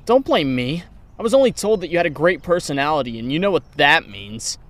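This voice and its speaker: A man's voice: fairly high pitch, sing-song, brisk, dry recording. A man voicing Peter, an easygoing young man who teases in a casual way. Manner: casual, teasing.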